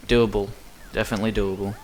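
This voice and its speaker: A man's voice: on the low side, little pitch movement, fast, a little mellow, a little hiss or hum. A young Australian man with an Australian accent giving chatty, rambling commentary while speedrunning a game. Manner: chatty, self-deprecating, rambling asides. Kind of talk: casual commentary over gameplay.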